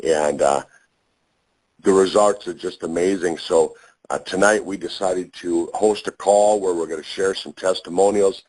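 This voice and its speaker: Deep, hoarse-voiced man. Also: steady tone, a little mellow, poor audio. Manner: folksy, halting, many fillers. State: hoarse after a long drive. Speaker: chiropractor and call host.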